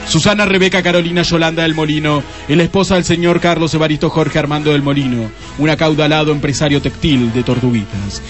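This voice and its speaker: A man's voice: a little high, close to an everyday voice. Man as a mock-solemn Argentine radio play narrator. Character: grave, melodramatic male radio play narrator. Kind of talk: parody radio drama narration.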